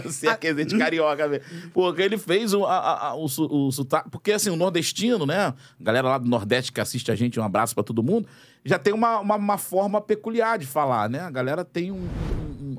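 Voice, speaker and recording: A man's voice: a little high, animated, fast, a little hiss or hum. A man hosting a casual podcast in a studio, chatty and filling his talk with tag questions. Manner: chatty, fills with "né?", laughs easily. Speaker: podcast host.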